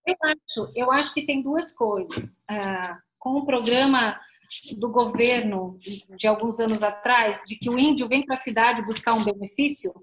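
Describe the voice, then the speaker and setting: A woman's voice: on the high side, measured pace, rough recording. A middle-aged woman with a Brazilian accent speaking as a missionary guest in a casual interview, explaining things and often checking with short tag questions.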